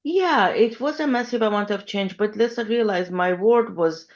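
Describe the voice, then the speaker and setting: A woman in her fifties from Iran, speaking as an interview guest, reflective and thoughtful, pausing often with ums. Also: mid-range voice, dull audio. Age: 50s. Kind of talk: conversational interview.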